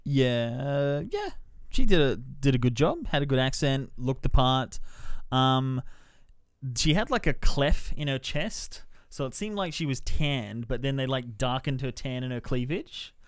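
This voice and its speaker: A man's voice: medium pitch, animated, measured pace, clean tone, no echo, clean studio sound. A man giving a casual, rambling, informal film review as a podcast reviewer.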